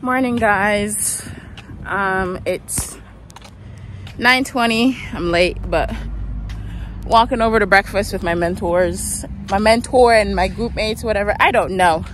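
A woman's voice: medium pitch, slow. A woman in her twenties chatting casually to her phone while walking outdoors, loose and a bit profane, full of fillers. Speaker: vlogger. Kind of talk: casual vlog chat. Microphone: phone at arm's length. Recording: loud street noise.

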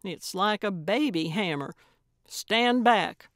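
Casting: feisty older female sleuth played by a woman narrator, blunt and stubborn, lifted and loud, audiobook style. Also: mid-range voice, takes it slowly, dry recording, clean studio sound. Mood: determined. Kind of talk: audiobook character voice.

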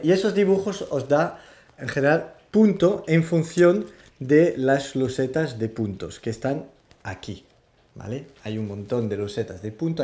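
A man, hosting a casual board game explainer in a halting way, searching for words. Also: a little high, warm tone. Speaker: host of a board game show. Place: small indoor room with game shelves.

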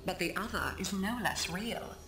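A woman voicing a calm computerised lesson narrator in a science fiction game, measured and instructive. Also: mid-range voice, echoey room, very noisy, natural voice. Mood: measured, formal. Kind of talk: scripted educational narration.

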